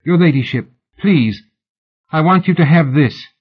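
A man reading an audiobook, voicing a pushy, excitable exiled woman who gushes and pleads in an overly familiar way, on a dull lo-fi recording. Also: fairly high pitch, unhurried, breathy edge, pitched above the performer's own voice. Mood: pleading.

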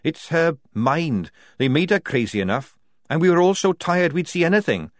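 A blunt young woman soldier, as a male narrator puts her on in a storybook. She is scornful and defiant, high in pitch and very animated. The recording is dry. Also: normal speed, no room sound.

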